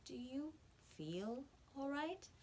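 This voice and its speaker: A woman voicing a stern but concerned schoolteacher in a children's storybook, speaking in a firm, measured, cautioning way. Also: sits high, lively intonation, darkish tone, pitched above the performer's own voice. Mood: slow, concerned. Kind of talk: children's storybook read-aloud.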